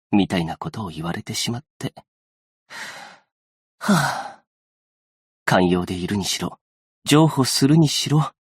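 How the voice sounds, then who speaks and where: Game role: gentle young male aid worker, earnest and patient, polite delivery, slightly husky, very dry recording. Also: medium pitch, no room sound. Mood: subdued, reflective. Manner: polite, soft-spoken, measured formal speech. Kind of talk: scripted game character voice lines.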